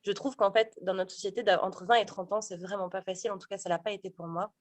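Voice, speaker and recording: A woman's voice: medium pitch, steady tone, quick pace, no echo. Hesitant woman in her thirties, dry sound. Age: 30s. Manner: hesitant, many fillers and restarts. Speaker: guest, sophrologist and stage actor. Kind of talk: casual interview talk.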